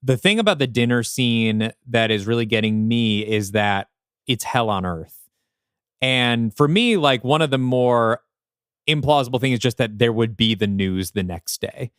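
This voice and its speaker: A man's voice: mid-range voice, moderate pace, fairly bright tone, clean tone, dry recording, clean studio sound. A man co-hosting a podcast, speaking casually in an emphatic, decisive way. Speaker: podcast co-host. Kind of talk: casual creative discussion.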